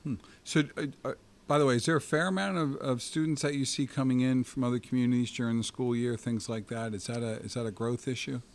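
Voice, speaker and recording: A man's voice: medium pitch, steady tone, fast. A middle-aged man hosting an interview, asking questions conversationally and often restarting them mid-sentence. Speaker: host.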